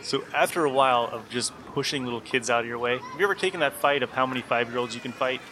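A man's voice: medium pitch, fast, bright, forceful tone, slight background noise, low-quality recording. A man running a comic tabletop game as game master, narrating the scene for the players.

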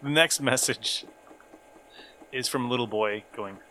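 A man's voice: mid-range voice, slow, very noisy. A man narrating a tabletop roleplaying game casually as game master.

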